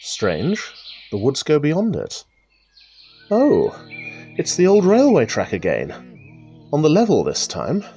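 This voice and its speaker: A man playing an excitable, musing rambler. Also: on the high side, takes it slowly, long pauses, highs cut off, faint music behind, full character voice. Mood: musing, observant. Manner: musing, old-fashioned British idioms, exclamatory. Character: chatty male country rambler talking to himself, wry and excitable. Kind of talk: radio drama monologue, thinking aloud.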